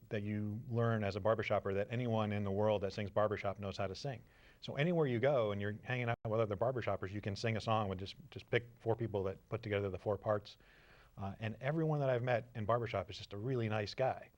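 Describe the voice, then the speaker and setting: A man who sings barbershop, talking in a friendly, measured way about the fellowship he found in it. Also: lowish voice. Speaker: barbershop singer. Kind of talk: casual interview answers.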